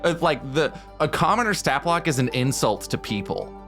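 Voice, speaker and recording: A man's voice: a little high, big pitch swings, normal speed, fairly bright tone, faint music behind. A man arguing casually but passionately, emphatic and stumbling, repeating himself as he goes. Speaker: podcast panelist. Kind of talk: impassioned casual debate.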